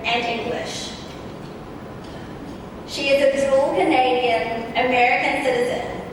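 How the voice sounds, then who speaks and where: A woman presenting an award at a dinner, reading a formal introduction in a measured, deliberate way. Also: sits high. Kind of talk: formal prepared speech. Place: large banquet hall. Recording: echoey banquet hall from far off, noisy.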